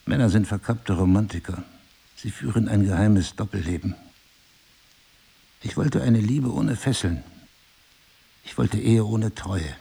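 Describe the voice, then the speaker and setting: An actor playing a remorseful middle-aged husband in an audio drama, speaking in a husky, gravelly, deliberate and intimate way. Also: male voice, lowish voice, warm tone, full character voice. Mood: earnest confession. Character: remorseful, pleading husband, brooding and passionate.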